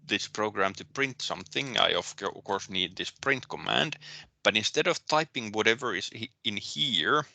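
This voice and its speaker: A man with a Finnish accent giving a recorded programming lecture, pausing often with ums and restarting his sentences. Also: fairly low pitch, expressive, vocal fry, pushed and bright, no echo. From Finland. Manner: hesitant, many ums and restarts. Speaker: university lecturer.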